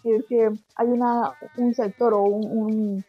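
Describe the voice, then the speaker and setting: A woman from Barranquilla with a Colombian Caribbean accent tinged by Spain, slightly husky, telling anecdotes conversationally as an interview guest and acting out quoted exchanges. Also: on the high side, little pitch movement, measured pace, dry recording, highs cut off, faint music behind. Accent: Colombian Caribbean with Spanish influence.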